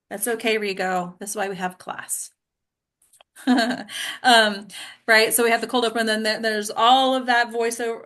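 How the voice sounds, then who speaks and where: A woman leads a small class in an informal, conversational way. Her voice sits at an average pitch, and she regularly turns her explanations into short check-in questions. She sounds like a teacher hosting a discussion. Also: a bit bright. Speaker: acting class teacher and host. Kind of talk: casual teaching talk to a small group.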